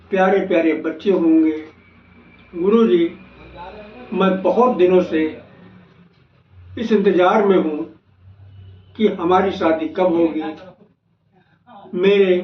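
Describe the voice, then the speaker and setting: A man voicing a pleading, despairing young woman who longs to marry, in an astrology video. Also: sits high. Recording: echoey, noisy, dull lo-fi, distant mic.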